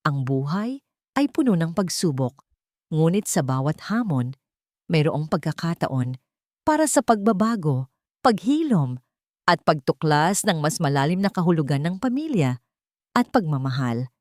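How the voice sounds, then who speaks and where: A woman with a low-pitched voice reads a sentimental drama as its narrator. Her delivery is earnest, solemn and measured. The sound is dry, with no room around it. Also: big pitch swings, a little mellow, no echo. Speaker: story narrator and channel host.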